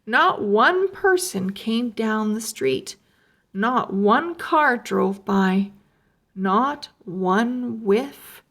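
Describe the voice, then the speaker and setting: A woman reading a children's chapter book aloud, slow and expressive, raising her voice for the characters' lines. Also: a little high. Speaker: read-aloud narrator. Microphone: close mic, camera over an open book.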